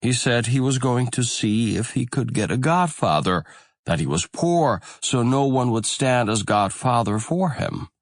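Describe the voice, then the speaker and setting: A man narrating a fairy tale audiobook in a deliberate, expressive storytelling manner. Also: medium pitch, no echo, studio quality.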